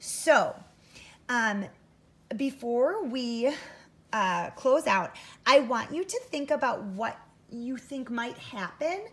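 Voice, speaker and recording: A woman's voice: a little high, animated, slow, a bit bright. An American woman teacher doing an interactive read-aloud for first graders, addressing young listeners directly and instructively. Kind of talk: interactive children's story read-aloud with comprehension questions.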